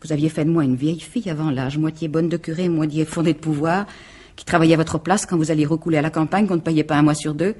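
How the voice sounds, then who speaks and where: A woman's voice: sits low, steady tone, quick pace, darkish tone, husky. An actress playing a bitter, jealous woman bent on revenge, theatrical and sardonic, in 1950s French radio drama style. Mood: sardonic, reproachful. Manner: theatrical, sardonic, menacing monologue. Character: bitter, jealous, vengeful scorned woman turned cold killer.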